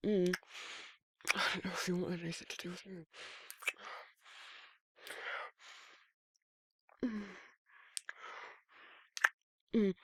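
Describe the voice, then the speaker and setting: An actor playing a shy, protective werewolf in an intimate romantic ASMR roleplay, with a breathy, husky, hesitant and stammering delivery. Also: medium pitch, fairly bright tone, no echo. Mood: flustered, longing. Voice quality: husky, breathy, gravelly, often whispering. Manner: hesitant, rambling, stammering, bashful. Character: shy, awkward, protective male werewolf, big and tender.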